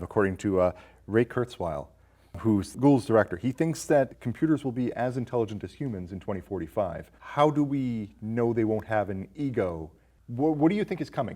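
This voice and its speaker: A man's voice: fairly low pitch, animated, a little fast, dark tone. A man, around forty, hosting a film review show and talking through ideas casually with a guest.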